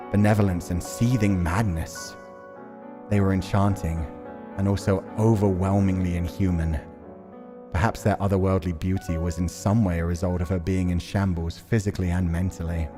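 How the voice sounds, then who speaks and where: Low-pitched man over prominent music. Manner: musing, thinking aloud. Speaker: host playing and reading a visual novel. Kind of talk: casual game commentary and narrated reading.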